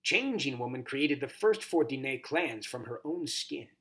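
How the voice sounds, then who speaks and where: A man voicing a kindly, formal horned toad mentor in a storybook, with a grumbly, muffled, croaky voice and courtly manners. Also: mid-range voice, expressive, unhurried, a bit bright. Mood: solemn storytelling. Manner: courtly, old-fashioned, ceremonious phrasing.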